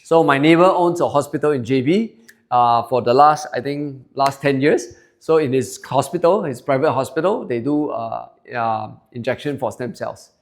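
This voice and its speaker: A man's voice: medium pitch, moderate pace. Singaporean man giving a sales talk. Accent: Singaporean English. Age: 40s. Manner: chatty, polls the crowd and tags lines with "okay?". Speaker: presenter. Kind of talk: sales presentation to a live audience. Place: seminar room with an audience.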